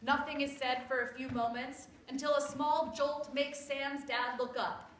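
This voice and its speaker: A woman reading scripted story narration aloud in an expressive, theatrical way. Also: high voice, a little slow. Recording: echoey room with clear background noise.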